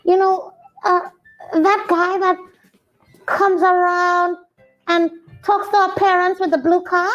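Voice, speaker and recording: A woman's voice: very high-pitched, flat delivery, takes it slowly, a bit bright, lo-fi sound, soft background music, full character voice. A woman voicing Priya, an eager, caring Trinidadian schoolgirl in a puppet show, who talks earnestly and gasps at news. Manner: eager, gasping, earnest explaining.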